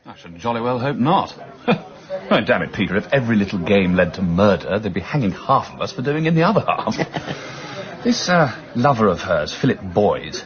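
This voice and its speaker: An actor playing a jovial, sceptical gentleman friend in a radio drama, breezy and bluff, with an upper-class English accent. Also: on the low side, big pitch swings, normal speed, dull audio. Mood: amused, breezy. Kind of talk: British radio drama acting.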